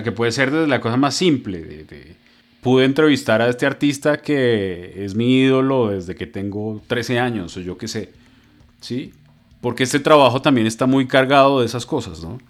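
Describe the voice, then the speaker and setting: A man's voice: mid-range voice, normal speed. A man interviewing someone in a casual, informal way, feeling his way through each question as he phrases it.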